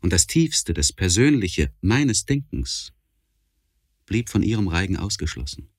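An actor playing a tormented, learned gentleman in a literary radio monologue, speaking formally and deliberately in elevated, precise language. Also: deep voice, very animated, breathy edge, no room sound, clean studio sound, heavily acted. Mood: melancholy, lonely. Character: anguished, learned gentleman writing a confessional letter, tormented and precise.

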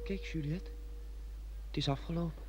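Husky, resigned gentleman. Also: on the high side, moderate pace. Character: resigned, polite gentleman ending a romantic dream. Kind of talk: radio play acting. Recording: dull, reverberant old radio play recording.

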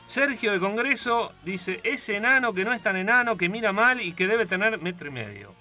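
Bright, animated Buenos Aires male radio host. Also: sits high, moderate pace, faint music behind. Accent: Argentine, Buenos Aires. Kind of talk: casual radio chat, reading listeners' messages.

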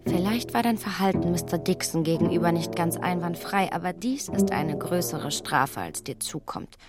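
An actress playing a spirited, opinionated young gentlewoman thinking aloud in a radio drama, with an ironic edge. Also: fairly low pitch, normal speed, over loud music, natural voice. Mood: mock pity.

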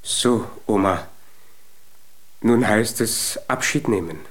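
An actor playing a small, earnest, naive young giant in a German children's radio play. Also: male voice, fairly low pitch, slow, rough recording. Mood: solemn, determined.

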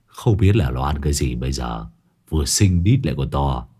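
Brief: cynical crude slacker, low mumbling growl, as a storyteller puts him on. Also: male voice, expressive, moderate pace, mellow, heavily acted. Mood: leering. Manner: gruff, crude, mocking. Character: lazy gruff young male sidekick, crude and cynical.

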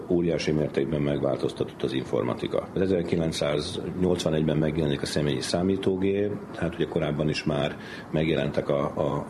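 A middle-aged man explaining things in an interview, haltingly, as an academic thinking aloud. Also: deep voice. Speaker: academic interviewee, university department head. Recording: clearly noisy interview background.

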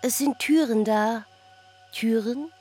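Slow, hopeful village sister over music. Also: female voice, fairly high pitch, darkish tone. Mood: hesitant hope. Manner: halting, deliberate, broken phrases. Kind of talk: literary radio drama acting.

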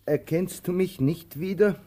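A weary, brooding tramp in a radio play, with a dark, mellow voice. He speaks slowly and haltingly, musing through long pauses, then pressing with anxious urgency. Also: sits high. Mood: probing, insistent. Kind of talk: slow theatrical radio drama acting.

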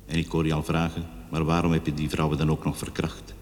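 A man's voice: very deep voice, completely flat delivery, quick pace, a little mellow. An actor in a radio drama playing a vengeful, self-righteous male killer who voices his suicide letter with bitter grandeur. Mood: bitter, gloating. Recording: long echoing reverb on the voice.

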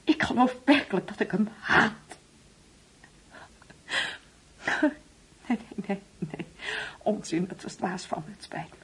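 A woman's voice: medium pitch, expressive, normal speed, breathy edge. An actress playing a grieving, wounded middle-aged mother in a Dutch radio play, pleading and emotional. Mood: anguished.

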